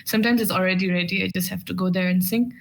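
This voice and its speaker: A young woman from Bhutan with a deeper voice than most female singers, chatting as a podcast guest in a soft, unhurried, thoughtful way. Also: steady tone, creaky, slight background noise. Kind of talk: casual podcast chat.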